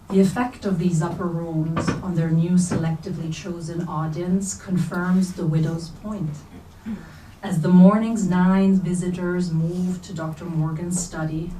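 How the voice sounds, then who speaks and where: Female reader, lowish warm voice, literary narration, recorded in an echoing room. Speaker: reader at a staged book reading. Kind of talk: literary prose reading aloud. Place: bookstore event space, indoors. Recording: roomy, distant mic, faint noise.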